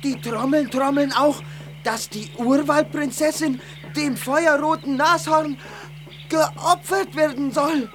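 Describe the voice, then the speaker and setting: A woman voicing a loyal village child in a children's audio drama, with simple, deliberate phrasing. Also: high-pitched, slight background noise. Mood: worried. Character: village child, loyal companion of the young witch.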